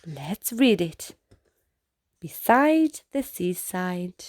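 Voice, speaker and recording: A woman reading a children's picture book aloud as a storytime host, in an expressive way with exclaimed sound-effect words. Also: lowish voice. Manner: slow, deliberate delivery for young children.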